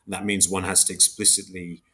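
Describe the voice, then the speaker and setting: Low-pitched man, careful academic explainer. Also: little pitch movement, fairly quick, pushed and bright. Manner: hesitant, precise, self-correcting. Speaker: philosopher guest, book author. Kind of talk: academic interview, explaining concepts.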